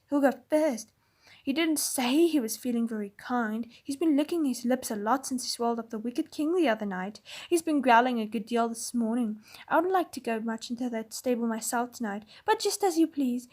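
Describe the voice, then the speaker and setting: A woman voicing a scheming male ape who jeers and shouts at a crowd of beasts. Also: high-pitched. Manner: jeering, shouting. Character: scheming jeering male ape, loud and mocking.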